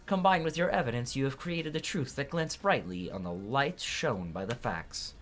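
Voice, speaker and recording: A man's voice: medium pitch, lively intonation, normal speed, a bit bright, soft background music, pitched above the performer's own voice, close to an everyday voice. A man voicing a solemn, grandiose video game judge in a pompous, declamatory manner. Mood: grand, solemn. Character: solemn, grandiose judge pronouncing truth and justice.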